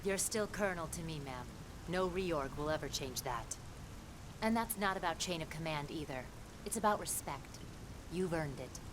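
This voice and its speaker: Low-voiced, plain-spoken female soldier. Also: animated, moderate pace. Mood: earnest, loyal. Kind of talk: video game cutscene dialogue. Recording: game audio over rumbling background noise.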